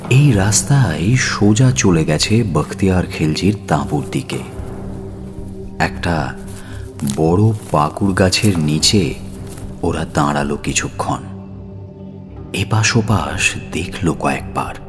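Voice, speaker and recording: Deep, slow male storyteller over music. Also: animated. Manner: theatrical, suspenseful pauses. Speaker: narrator of an audio story. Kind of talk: dramatic scripted story narration. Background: background music.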